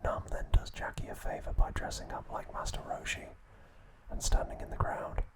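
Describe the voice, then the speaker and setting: Whispering man narrating ASMR. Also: moderate pace. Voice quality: unvoiced breathy whisper. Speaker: ASMR host.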